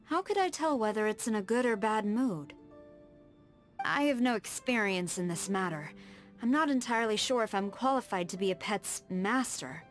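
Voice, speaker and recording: A woman acts out a proud tengu warrior woman from a video game. She speaks formally and precisely in a composed, firm voice at a medium pitch, stern and reserved, with music playing behind her. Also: animated, natural voice. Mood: composed, earnest. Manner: formal, measured, precise diction. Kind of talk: scripted video game character dialogue. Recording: studio voice over background music.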